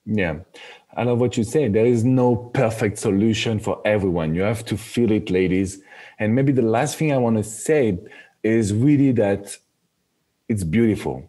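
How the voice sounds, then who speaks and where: A man's voice: lowish voice, moderate pace, warm tone. A man in his thirties with a French accent, a relationship expert guest on a video call, talking earnestly and encouragingly through an earphone mic. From France. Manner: earnest, encouraging, laughs easily. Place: home office with bookshelves. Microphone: earphone headset on video call.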